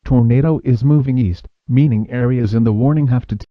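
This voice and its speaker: A man's voice: mid-range voice, brisk, dry recording. A man reading a scripted emergency weather bulletin as a radio announcer. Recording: dull lo-fi weather radio sound.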